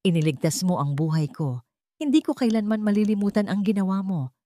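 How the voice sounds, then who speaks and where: A woman's voice: medium pitch, expressive, fairly quick, mellow, voice pushed up, lightly acted. Woman reading as a stern, solemn millionaire man. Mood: solemn gratitude. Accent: Filipino. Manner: firm, grave.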